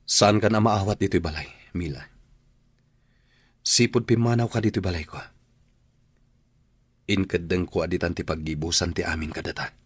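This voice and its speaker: An actor playing a stern, bitter husband in an Ilocano radio drama, speaking bluntly and accusingly in a husky Ilocano-accented voice. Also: male voice, sits low, fairly even intonation, normal speed, long pauses, no echo. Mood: angry, bitter. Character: bitter, stern husband throwing out his estranged wife.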